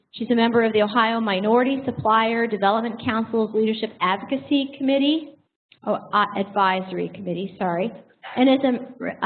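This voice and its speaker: High-voiced American woman on tinny audio. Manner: jokey, self-deprecating. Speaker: host introducing a guest speaker. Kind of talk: informal spoken introduction to a live audience. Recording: thin phone-like sound with faint noise.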